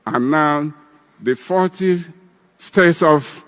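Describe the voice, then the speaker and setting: A man's voice: fairly high pitch, very slow, fairly bright tone, in a large hall. A man in his eighties with a Nigerian Igbo accent, giving a ceremonial speech as an elder breaking kola nut. He rambles and repeats himself. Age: 80s.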